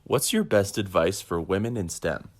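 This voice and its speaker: A young interviewer with a low-pitched voice that moves around a lot in tone. The questions are short and scripted, delivered politely and with an upbeat feel. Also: animated, normal speed. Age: young adult.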